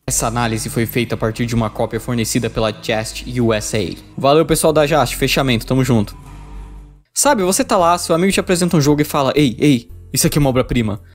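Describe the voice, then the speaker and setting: A man's voice: medium pitch, fast, soft background music. A young Brazilian man reading an informal scripted video review, irreverent and sweary in his delivery. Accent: Brazilian Portuguese. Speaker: video essayist reviewing games.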